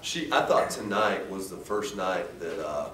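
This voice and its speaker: An American man speaking as a coach at a post-game press conference, in a halting, measured way with frequent restarts. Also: expressive, bright, forceful tone. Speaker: head basketball coach. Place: indoor press room at a table. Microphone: distant camera. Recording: roomy, distant mic with faint rumbling noise.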